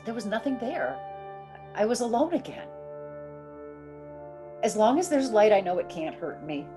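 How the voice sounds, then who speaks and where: A woman's voice: medium pitch, big pitch swings, lightly acted. An actress playing a frightened young woman who halts and repeats herself anxiously, in old-time radio horror style. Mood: fearful recounting. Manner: halting, anxious, repeats words. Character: frightened, insistent young woman haunted by shadowy presences. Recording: radio drama with music behind.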